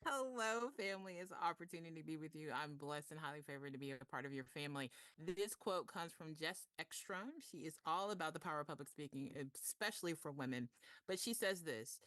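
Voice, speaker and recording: A woman's voice: deep voice, lively intonation, brisk, fairly bright tone, dry recording. A woman guest speaker giving a short inspirational talk in a preacherly manner, calling her listeners family. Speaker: guest speaker from a sister club.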